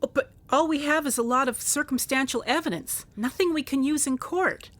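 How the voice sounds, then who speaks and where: A woman's voice: sits high, brisk, a bit bright. A woman playing a wry, grieving police investigator in a contemporary radio drama. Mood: eager.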